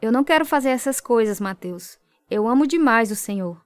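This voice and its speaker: A woman's voice: fairly high pitch, lively intonation, fairly quick, no room sound, close to an everyday voice. An actress with a Brazilian accent playing a devout, earnest young convert telling her story in a radio drama. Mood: earnest, firm. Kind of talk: radio drama narration and dialogue.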